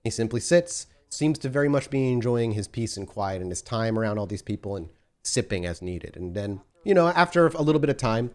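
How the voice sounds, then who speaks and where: A man's voice: medium pitch, expressive, fairly quick, a little mellow. A man running a tabletop role-playing game as storyteller, giving vivid sensory descriptions with frequent restarts.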